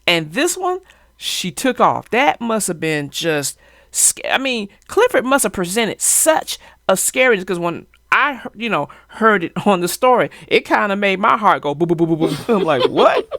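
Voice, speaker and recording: A woman hosting a children's story discussion, talking conversationally in an expressive, emphatic way. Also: mid-range voice, normal speed, no room sound, clean studio sound. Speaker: host of a children's story channel.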